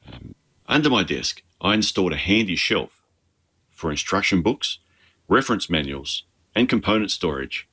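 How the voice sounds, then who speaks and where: Aussie guy, really low voice but crisp and bright, explaining his hobby setup on an informal tour. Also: sing-song, normal speed. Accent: Australian. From Australia. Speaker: amateur radio hobbyist. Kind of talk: informal instructional tour narration.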